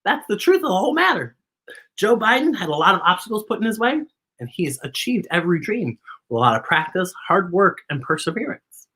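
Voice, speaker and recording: Expressive, bright-voiced American woman, slightly husky. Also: mid-range voice, fairly quick. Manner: expressive, encouraging, addresses young viewers directly. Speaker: children's story time host. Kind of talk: live read-aloud with chatty commentary.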